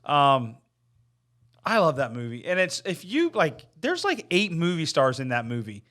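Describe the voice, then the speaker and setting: A man's voice: a little high, wildly swooping pitch, moderate pace, fairly bright tone. A man in a casual podcast chat, talking enthusiastically as a panelist and quoting lines he likes. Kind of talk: casual chat about favorite movies.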